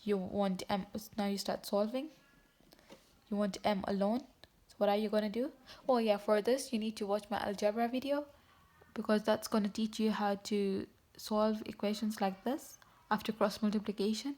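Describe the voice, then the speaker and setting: A woman's voice: mid-range voice, flat delivery, normal speed, mellow. A woman from Tanzania, teaching math in a casual video. She talks straight to her viewers, correcting herself as she goes. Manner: chatty, self-correcting, talks to viewers directly. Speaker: math tutor.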